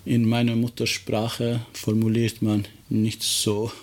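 A middle-aged man from Kosovo, a teacher, speaks German with an Albanian accent and often pauses to search for words. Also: on the low side, monotone, darkish tone. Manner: slow, halting, searching for words. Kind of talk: interview answers.

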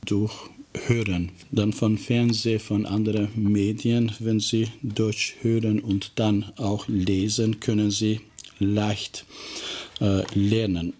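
A middle-aged man with an Albanian accent answers interview questions in German. His low voice stays flat and even, and he speaks slowly, stopping often to find the next word. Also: a little hiss or hum. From Kosovo. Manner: slow, halting, searching for words. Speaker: teacher.

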